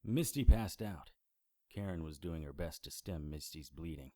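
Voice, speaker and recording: A man's voice: extremely low pitch, normal speed, no room sound. An actor playing a dry, wry male occult investigator who narrates his case in an audio drama. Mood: grim, wry. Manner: deadpan, wry. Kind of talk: first-person detective audio drama narration.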